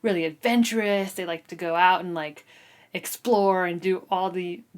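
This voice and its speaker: A woman's voice: lowish voice, steady tone, normal speed. A woman in her thirties hosting a book discussion podcast in a casual, conversational way, often dropping in "like". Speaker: book club podcast host.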